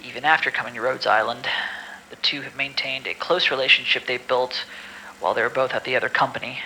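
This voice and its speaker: A man's voice: medium pitch, fairly even intonation, fast talker, vocal fry, pushed and bright, slight background noise, poor audio. A man playing an exasperated medical staffer in an audio drama, reading patient files formally with weary, grumbling asides. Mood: formal, puzzled. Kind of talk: amateur audio drama acting.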